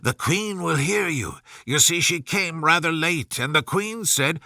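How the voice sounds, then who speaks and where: A man acts out a timid storybook rabbit, fretful and fussy. He speaks in a hushed, hurried way with a nervous stammer, bright and close in a dry recording. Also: on the high side, lively intonation, no room sound, exaggerated voice. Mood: anxious, hushed.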